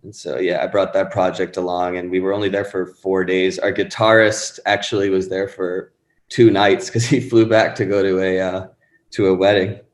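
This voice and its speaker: A man in his thirties with a very low voice talks loosely, drifting through fillers and restarts. The sound is roomy and echoey, with the space audible around him. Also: flat delivery, normal speed. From born in Pakistan, raised in Rhode Island. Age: 30s. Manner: rambling, many fillers and restarts. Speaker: musician guest. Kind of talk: casual interview chat.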